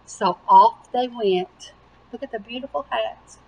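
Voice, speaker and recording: A woman reading a picture book aloud to young children with animated emphasis and simple explanatory asides. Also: on the high side, a little slow, vocal fry, slightly rough, poor audio. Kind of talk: slow, expressive children's read-aloud.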